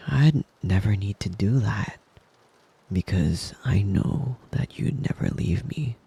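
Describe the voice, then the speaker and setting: A man acts out a yandere wolf boy boyfriend for ASMR, very breathy and husky with a gravelly edge. He murmurs slowly and close, sweet and doting with possessive menace underneath, often slipping into a whisper. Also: fairly low pitch, dark tone, heavily acted. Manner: cooing praise, slow, with little chuckles. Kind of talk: intimate ASMR roleplay monologue. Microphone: close mic.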